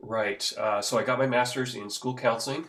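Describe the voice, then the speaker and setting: A man in his fifties from the Pacific Northwest, a school counselor, chatting in an interview at an easy, rambling pace with many "uh" pauses. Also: mid-range voice, fairly even intonation, fairly bright tone. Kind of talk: conversational interview.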